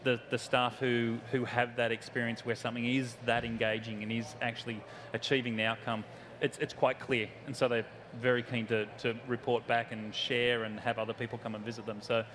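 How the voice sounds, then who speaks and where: A man with a medium-pitched voice answers on a panel in a halting way. He restarts and repeats words as he works out what he means. A light hall murmur is heard behind him. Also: flat delivery, noisy background. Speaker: panelist, educator.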